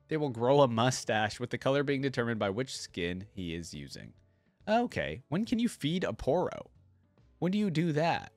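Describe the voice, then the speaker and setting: A man with a mid-range voice hosts a reaction video in a casual, conversational way. He moves between reading text aloud and thinking out loud about it. The sound is dry, with very little room in it. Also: medium pitch, no echo. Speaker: YouTube reaction host.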